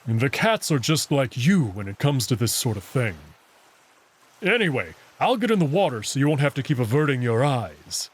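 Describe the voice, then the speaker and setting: A man voicing a self-assured, teasing male wolf character from a visual novel, casual and gently bossy. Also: medium pitch, sing-song, normal speed, breathy edge. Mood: easygoing. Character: confident, teasing male anthropomorphic wolf, commanding yet caring.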